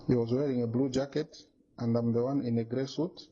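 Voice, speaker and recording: A man's voice: medium pitch, fairly even intonation, a little fast, dark tone, slight background noise. A man from Zambia, making an insistent, indignant statement to the media and repeating his points. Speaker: businessman making a complaint. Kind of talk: emphatic accusatory statement to media. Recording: dull lo-fi recording.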